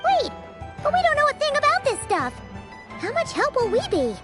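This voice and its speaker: A woman voicing a tiny, childlike, excitable fairy companion who chatters and exclaims in a video game. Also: very high-pitched, normal speed, over music, exaggerated voice. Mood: curious, uneasy. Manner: childlike, exclamatory, refers to self by name.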